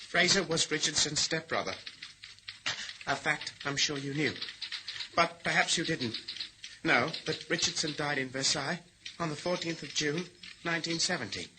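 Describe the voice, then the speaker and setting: An actor plays a timid young male office junior in a radio play. He is polite and hesitant, pitched a little high, on a clearly noisy recording. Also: a little slow, long pauses, lightly acted. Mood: reading aloud. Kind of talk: radio drama acting.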